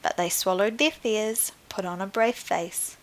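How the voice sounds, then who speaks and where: A woman narrates a storybook for young children. Her voice sits at an average pitch, and she reads slowly and deliberately, with a teacher's expressive delivery. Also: poor audio. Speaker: primary school teacher.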